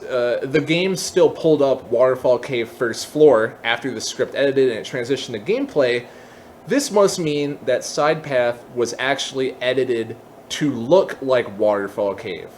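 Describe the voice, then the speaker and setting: A man presenting a software tutorial, explaining step by step in a semi-casual way with casual asides. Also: medium pitch, a little hiss or hum.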